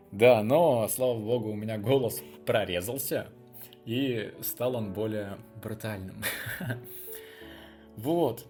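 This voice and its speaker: A young adult man talks casually to his viewers as a video blogger. His delivery is unscripted and chatty, wandering as he thinks aloud. His voice sits at an average male pitch, with music playing faintly behind it. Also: darkish tone.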